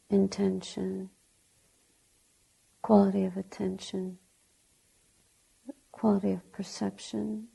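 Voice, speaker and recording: A woman teaching guided meditation in a soft, breathy, gentle way, speaking in short deliberate phrases with long pauses. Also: mid-range voice, rough recording. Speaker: Buddhist nun, meditation teacher.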